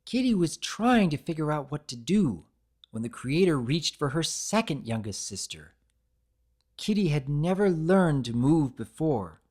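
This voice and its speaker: Story narrator, dramatic reading, very animated intonation, deliberate expressive delivery. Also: mid-range voice.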